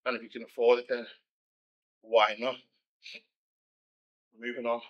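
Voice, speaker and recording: A man's voice: mid-range voice, completely flat delivery, a little slow, dull audio. A man with a Scouse accent, a pro bodybuilder answering viewer questions in a blunt, casual monologue. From Liverpool, England. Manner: blunt, conversational, frequent "you know" and restarts.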